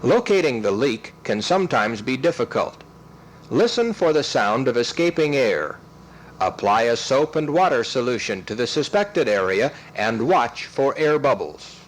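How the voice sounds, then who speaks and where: A man reads scripted instructional narration in a methodical, step-by-step way, enunciating clearly. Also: medium pitch, big pitch swings, unhurried, rough recording. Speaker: training film narrator.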